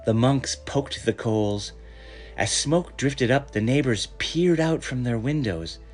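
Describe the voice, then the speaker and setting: Animated, unhurried male storyteller. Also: medium pitch, soft background music. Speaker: story reader. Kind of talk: expressive storybook narration.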